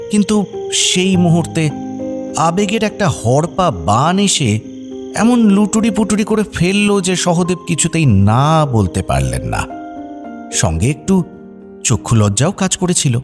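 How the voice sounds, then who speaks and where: A man narrating a scripted radio story in a wry, expressive way. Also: a little high, unhurried, long pauses, music in the background.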